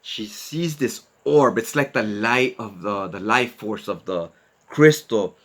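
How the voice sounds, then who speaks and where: A man's voice: fairly low pitch, slow pace, a bit bright. Informal man retelling a comic book. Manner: informal, slangy, conversational. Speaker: host, comic book narrator. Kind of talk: casual storytelling commentary on a comic.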